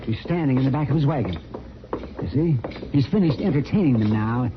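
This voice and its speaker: An actor playing a crusty, excitable old frontier man with folksy rustic phrasing, in an old-time radio drama. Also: medium pitch, a little fast, crowd noise, close to an everyday voice. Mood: eager. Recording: dull old radio recording.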